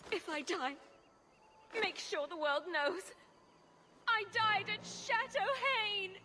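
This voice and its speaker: An actress playing a shrill, melodramatic noblewoman with an overwrought, theatrical manner in a video game. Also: animated, takes it slowly, bright, forceful tone, a little hiss or hum, exaggerated voice. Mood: mock distress.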